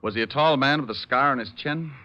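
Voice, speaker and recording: An actor playing a cool, flippant private detective with dry hardboiled wit in a 1940s radio noir drama. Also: male voice, medium pitch, quick pace, bright, forceful tone, natural voice. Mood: urgent. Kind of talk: 1940s radio noir acting and first-person narration. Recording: dull 1940s radio recording, music behind.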